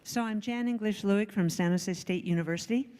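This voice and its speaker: A woman in the audience asking a question thoughtfully, with pauses. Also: medium pitch, warm tone. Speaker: audience member. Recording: reverberant hall.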